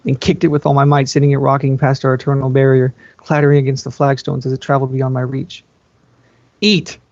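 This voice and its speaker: A man's voice: medium pitch, completely flat delivery, fast, darkish tone, no echo, highs cut off. A man reading fan fiction aloud as a first-person narrator.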